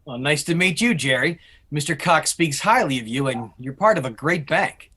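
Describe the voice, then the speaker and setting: A man plays an older, plainspoken businessman with a bright, fairly high voice. He is friendly and folksy, delivering scripted lines from a stage musical. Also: lively intonation, moderate pace. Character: friendly older businessman, grandfather and longtime bank customer. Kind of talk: musical theatre table read.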